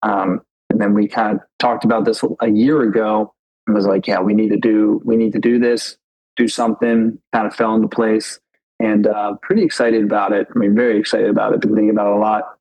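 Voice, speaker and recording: Podcast co-host, man, 30, husky and a bit low, loose rambling delivery. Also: little pitch movement, fairly quick, darkish tone, poor audio. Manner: rambling, repeats himself, lots of fillers. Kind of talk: casual chat.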